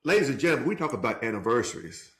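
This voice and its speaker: A man co-hosting a podcast, teasing his cousin in casual, animated chat. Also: mid-range voice, rapid-fire. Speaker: podcast co-host.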